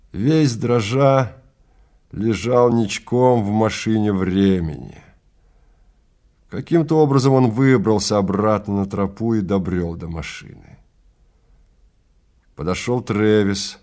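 A man narrating a story in a theatrical, deliberate manner with dramatic pauses. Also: on the low side, a little mellow.

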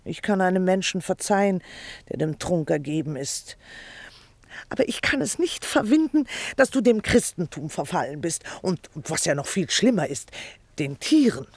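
An actress playing a big, nagging, self-pitying wife in a theatrical radio play, scolding and indignant. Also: female voice, on the low side, sing-song, moderate pace, darkish tone, dry recording. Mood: scornful, scolding. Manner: scolding, indignant, dramatic complaining.

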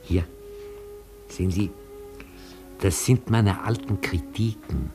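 An actor playing a gentle, nostalgic old retired opera tenor in a German radio play, courteous and halting. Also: male voice, deep voice, over music. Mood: proud, nostalgic. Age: older.

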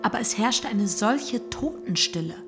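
Woman playing a shy, modest young gentlewoman. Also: mid-range voice, lively intonation, moderate pace, over music, close to an everyday voice. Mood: shyly defensive. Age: young adult. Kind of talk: radio drama acting.

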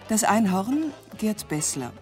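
A woman radio announcer reading scripted credits in a formal, measured way. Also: mid-range voice, sing-song. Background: prominent music.

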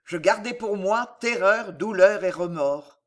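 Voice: mid-range voice, expressive, measured pace, natural voice. A reader voicing a fearful old astrologer. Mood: grave. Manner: hesitant, grave and solemn. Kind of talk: audiobook character reading.

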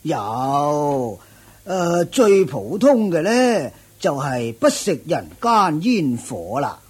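An actor playing a proud older ascetic master in a radio drama, with a husky, raspy voice, a drawling self-satisfied manner. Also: male voice, on the high side, sing-song, drawn-out words, full character voice. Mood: proud, unhurried. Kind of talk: Cantonese radio drama acting.

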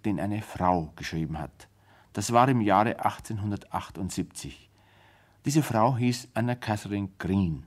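A man, a radio play director, introducing a drama in a measured, explanatory, formal 1970s radio style. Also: low voice, a little mellow.

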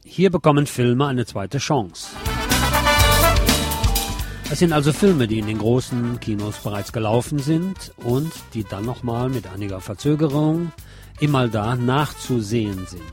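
A man's voice: mid-range voice, lively intonation, moderate pace, music in the background. A man giving a personal, opinionated film review in a conversational radio commentary style.

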